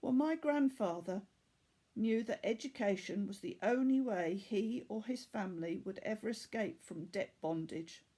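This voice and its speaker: Woman reading as a weary, earnest boy, slow-spoken. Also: mid-range voice, dark tone, lightly acted. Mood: earnest, confiding. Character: weary, earnest boy from Bangladesh, formerly enslaved.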